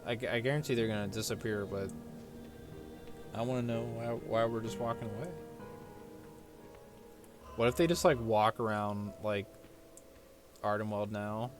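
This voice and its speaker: A man with a fairly low voice comments on a game he is playing. He is informal and rambling, swearing casually, while game music plays clearly behind him. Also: normal speed, long pauses. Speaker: gaming video creator.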